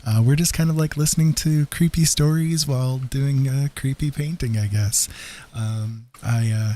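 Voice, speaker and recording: A man's voice: mid-range voice, fairly even intonation, moderate pace, dark tone. A man hosting a livestream with casual, hesitant, rambling chat. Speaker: livestream host.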